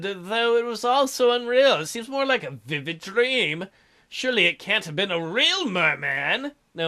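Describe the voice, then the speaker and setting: A man's voice: sits high, sharp tone. A man reading visual novel text aloud as a let's play host, with irreverent, sarcastic asides. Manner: irreverent, crude, sarcastic commentary.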